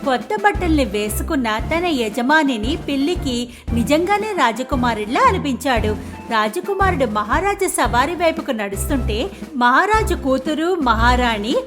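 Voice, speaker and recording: A woman reads a children's fairy tale as its narrator. Her voice is high and swings expressively up and down, with music playing clearly behind her. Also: normal speed. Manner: expressive storytelling. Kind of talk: scripted children's story narration.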